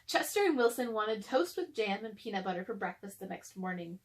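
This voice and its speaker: A woman's voice: mid-range voice, lively intonation, fairly quick. A woman narrating a picture book aloud for children. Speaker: storybook reader.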